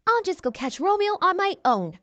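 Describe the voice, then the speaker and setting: An indignant young girl superhero from a storybook, played by a woman reading aloud. She sounds high and animated, stubborn and pouting, in a dry recording. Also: normal speed, no room sound, heavily acted. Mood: hurt, stubborn.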